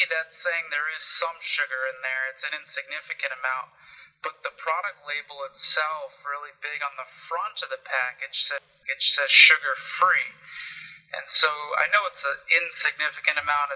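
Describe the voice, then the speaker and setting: A man calling in a complaint in an unscripted, measured and politely persistent way, on a recorded phone line. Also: on the high side. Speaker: caller, consumer health advocate. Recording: muffled recorded phone call.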